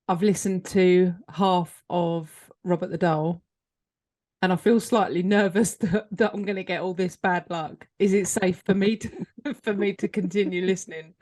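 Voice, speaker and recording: Woman co-host with a somewhat low voice, relaxed and easygoing, answering with quick short replies. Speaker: podcast co-host. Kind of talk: casual podcast chat.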